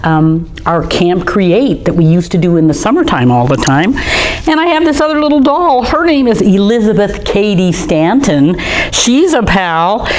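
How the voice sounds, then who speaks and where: A woman's voice: medium pitch, a little mellow, rough recording. Lady reading a kids book at a museum storytime, chatty and friendly, talks right to the kids, a little faint noise behind. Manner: chatty, friendly, speaks straight to young kids. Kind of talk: reading a picture book aloud to children. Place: art museum gallery, indoors.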